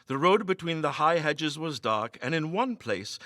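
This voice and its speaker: A man giving a theatrical, expressive dramatic reading of a classic ghost story. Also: on the high side, moderate pace, no echo, studio quality. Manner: theatrical, expressive delivery with emphatic dialogue. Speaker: story reader.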